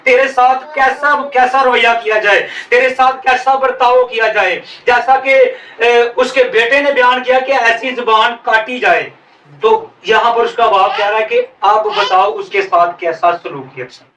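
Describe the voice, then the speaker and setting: High-voiced pastor preaching with Pakistani Urdu accent. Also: male voice, steady tone, a bit bright, a little hiss or hum. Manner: preaching, drawn-out emphatic phrases. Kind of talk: sermon. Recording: echoey room, voice from some distance.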